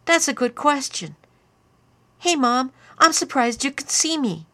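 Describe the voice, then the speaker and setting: A woman's voice: high voice, big pitch swings, unhurried, pushed and bright, pitched above the performer's own voice, heavily acted. A woman voicing a ten-year-old boy who is tipsy, giggling and teasing in a singsong way. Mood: giggly taunting.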